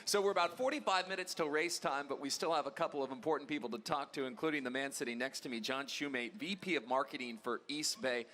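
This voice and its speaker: A man's voice: medium pitch, quick pace, hardly any pauses, bright, forceful tone. A man hosting a live sports broadcast, upbeat and joking as he interviews a guest. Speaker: broadcast host.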